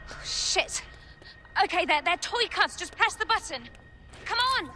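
A woman playing a bossy, distrustful actress in her thirties in a video game, sharp-tongued and exasperated. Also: a little high, expressive, moderate pace, soft background music. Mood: flustered. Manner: commanding, exasperated. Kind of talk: video game drama acting.

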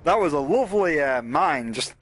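A man's voice: on the high side, expressive, normal speed, fairly bright tone, husky, dry recording. A man hosting a let's play, rambling casually and swearing as he talks through the game and to his chat. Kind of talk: casual gameplay commentary.

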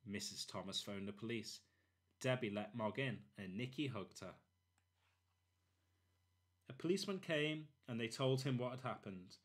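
A man narrating a children's storybook expressively, in short, deliberate phrases. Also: mid-range voice, lively intonation. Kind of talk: expressive children's read-aloud.

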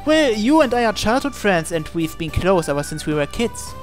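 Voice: mid-range voice, very animated, normal speed. A person reading visual novel text aloud for a let's play, acting out the dialogue expressively. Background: game music.

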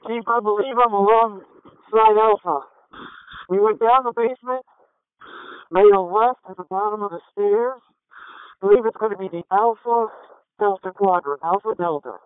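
A man's voice: high-pitched, moderate pace, pauses often, smooth, no room sound. A man working as a firefighter, sending urgent emergency traffic over a handheld radio. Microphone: handheld two-way radio. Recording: thin, narrow-band fire radio transmission.

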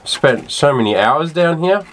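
A man's voice: on the high side, animated, a little slow, a bit bright. A man in his thirties talking casually as he explains the repair work he is doing on a sailboat. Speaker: sailing vlogger doing boat repairs. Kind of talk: casual explaining while working. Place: inside a sailboat and on its deck. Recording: noisy on-location camera audio.

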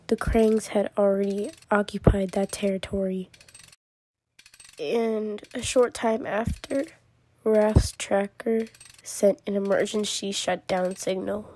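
A woman voicing a sad, reflective mutant turtle master recounting memories in a cartoon comic dub, grave and measured. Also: medium pitch, mellow, a little hiss or hum, lightly acted, low-quality recording. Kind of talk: fan comic dub acting, storytelling.

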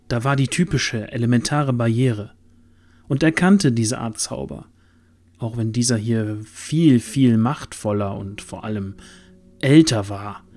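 A man's voice: mid-range voice, a little mellow. A man narrating a scripted fantasy audio drama in a dramatic storytelling manner.

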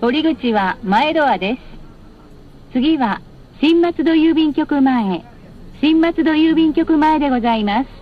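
A woman's voice: high voice, lively intonation, measured pace. A woman bus announcer reading scripted stop announcements in a formal, polite, carefully enunciated way over cabin speakers. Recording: muffled, with clear vehicle noise. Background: vehicle noise.

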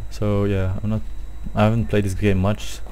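A man's voice: lowish voice, level tone, moderate pace, mellow, clear, resonant tone. A young man with a Belgian accent, rambling and swearing as he comments on his own gameplay into a desktop microphone. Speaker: gameplay video host.

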